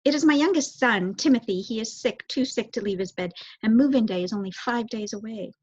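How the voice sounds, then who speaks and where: A woman's voice: fairly high pitch, brisk, darkish tone, slightly rough. A woman voicing a nervous, polite mother mouse in a storybook. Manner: polite, anxious. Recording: dull, lo-fi close recording.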